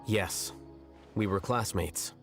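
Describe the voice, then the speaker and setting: An actor playing an aloof, coolly logical scholar in a video game, speaking in a measured, matter-of-fact and condescending way. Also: male voice, fairly low pitch, vocal fry. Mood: dry, dismissive. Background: game music.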